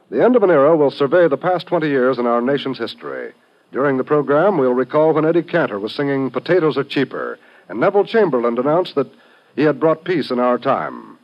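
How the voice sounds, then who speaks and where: A man announcing in scripted 1950s network radio style, formal and emphatic. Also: on the high side. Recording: dull old radio broadcast recording.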